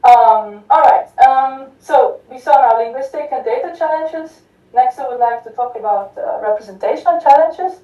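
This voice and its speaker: A woman presents research at a conference, her voice fairly high and mellow. She fills pauses with "um" and "uh," restarts phrases, and asks the room "right?". The recording is dull and lo-fi, taken from across an echoey lecture hall. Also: distant mic. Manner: many fillers and restarts, addresses audience with "right?". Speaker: conference speaker. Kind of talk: academic conference talk.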